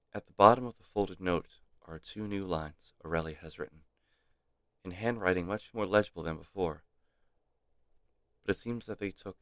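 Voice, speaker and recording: A man streaming a game, reading its text aloud dramatically with measured, theatrical pauses. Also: low-pitched, fairly bright tone, no room sound.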